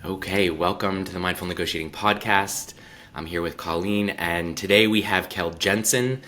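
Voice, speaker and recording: Man, podcast host, very deep voice, bright tone, enthusiastic, thoughtful. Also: normal speed. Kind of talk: podcast interview.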